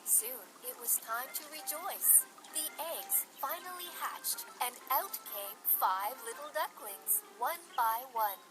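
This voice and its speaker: A woman narrating a scripted children's story in an expressive, deliberate storytelling manner. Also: sits high, music in the background.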